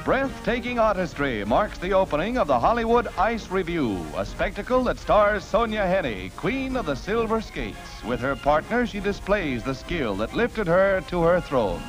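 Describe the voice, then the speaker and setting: A man's voice: high voice, very animated, moderate pace, hardly any pauses, over music, poor audio. An American man narrating a 1940s newsreel in a punchy, theatrical announcer style. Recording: vintage 1940s newsreel soundtrack.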